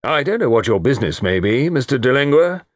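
A man's voice: mid-range voice, wildly swooping pitch, unhurried, breathy edge, no echo, clean studio sound, voice pushed up, full character voice. A man voicing a stern, suspicious old English colonel in an audiobook, with a heavy, grave, blustering manner. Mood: sharp. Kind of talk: audiobook character dialogue.

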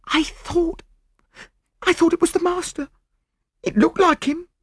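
Guy doing a scared servant girl in an audiobook, high voice, faltering and timid, kinda deferential. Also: fairly even intonation, unhurried, no echo, clean studio sound. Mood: shaken. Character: timid, startled young parlour maid, a servant woman. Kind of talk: audiobook character voice.

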